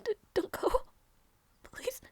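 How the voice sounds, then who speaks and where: A woman playing a gentle young princess in an intimate audio roleplay, devoted and emotional, with a breathy, halting delivery. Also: dry recording, lightly acted. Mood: pleading, tender. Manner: breathy, halting, stammering, often near whisper.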